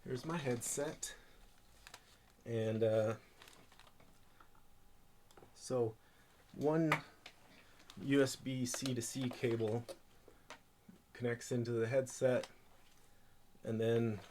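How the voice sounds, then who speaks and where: A man presenting an informal hands-on tech tutorial, speaking haltingly as he thinks aloud while handling gear. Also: medium pitch, steady tone, noisy background.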